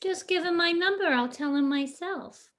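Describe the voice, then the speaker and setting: Woman playing a brash high-pitched wisecracking dresser. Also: normal speed, fairly bright tone. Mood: sassy. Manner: blunt, sassy. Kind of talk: radio play acting.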